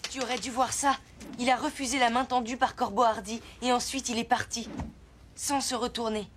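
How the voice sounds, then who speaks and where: A woman voicing a brave, earnest young boy in an animated children's series. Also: a little high, moderate pace, a little hiss or hum, natural voice. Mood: concerned, respectful. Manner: earnest, insistent. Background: background music.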